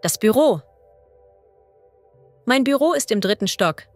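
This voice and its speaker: A woman as a language teacher reading idioms and vocabulary slowly and clearly. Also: a little high, expressive, no room sound, soft background music. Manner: slow, clear and deliberate.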